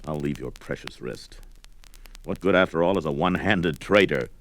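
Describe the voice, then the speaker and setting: An actor playing a brawny, defiant barbarian warrior in a 1970s audio drama, taunting in grandiose archaic speech. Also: male voice, very deep voice, normal speed, fairly bright tone, low-quality recording. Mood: mocking, amused.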